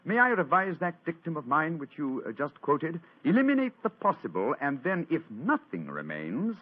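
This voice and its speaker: An actor playing a suave, teasing master detective in an old radio drama, with precise, theatrical delivery. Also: male voice, fairly high pitch, wildly swooping pitch, moderate pace. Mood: incisive. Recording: dull old radio recording.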